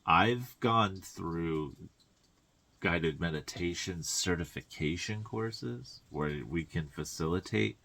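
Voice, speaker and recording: A man with a very deep voice talks informally as a spiritual guide and tarot reader. His delivery is a reflective coaching monologue, halting in its phrasing and sprinkled with colloquial asides. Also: animated, gravelly, bright, forceful tone.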